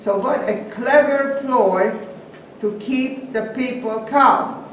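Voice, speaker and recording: An older woman from Hungary with a Hungarian accent, giving a measured first-person testimony talk to students and pausing to restart phrases. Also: a little high. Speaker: guest speaker. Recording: echoey hall, distant mic, faint hiss.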